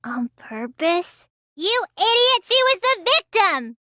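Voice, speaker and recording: Casting: small teenage girl mage for a game; childish, bright, sluggish and whiny, recorded close and dry. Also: extremely high pitch, sing-song, takes it slowly, dry recording, heavily acted. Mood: indignant, flustered. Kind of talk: English video game voice acting.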